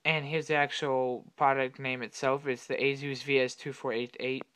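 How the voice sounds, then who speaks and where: A young man with a slightly raspy voice from being sick, casually talking through an unboxing at his desk with lots of fillers and self-corrections. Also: mid-range voice, little pitch movement, normal speed, fairly bright tone. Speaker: tech unboxing vlogger. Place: home room at a desk.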